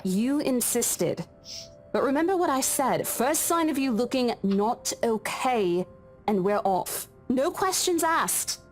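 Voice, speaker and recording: A woman's voice: a little high, very animated, takes it slowly, faint music behind. An actress playing a protective, firm young female coworker in a visual novel game. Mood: stern concern.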